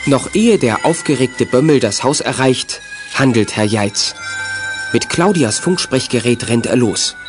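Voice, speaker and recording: A man narrating a scripted children's audio drama in a measured, suspenseful storyteller's way. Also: mid-range voice, lively intonation. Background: music.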